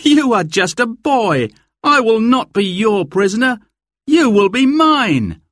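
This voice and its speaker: A man performs a young male forest outlaw with a high, swooping voice. He is defiant and easily alarmed, calling out urgently as a storybook character. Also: huge pitch swings, normal speed, fairly bright tone, dry recording, studio quality, exaggerated voice. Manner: urgent, exclamatory.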